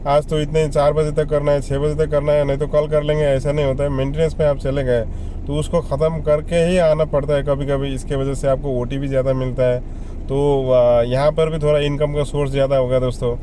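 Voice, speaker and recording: Male vlogger, slightly high mellow voice, casual and repetitive explainer. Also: a little hiss or hum. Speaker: vlogger giving job advice. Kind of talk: casual explainer addressed to viewers.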